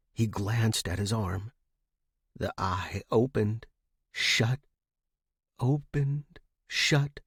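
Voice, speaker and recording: Man narrating pulp fiction, husky and dry. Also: medium pitch, dry recording.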